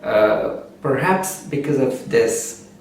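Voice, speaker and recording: Urdu-speaking guy, slow and thoughtful, lots of uh pauses, mic kinda far, super echoey. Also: medium pitch, expressive, vocal fry. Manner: slow, measured, with frequent "uh" pauses. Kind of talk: reflective spoken essay. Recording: very echoey room, distant mic, faint background noise.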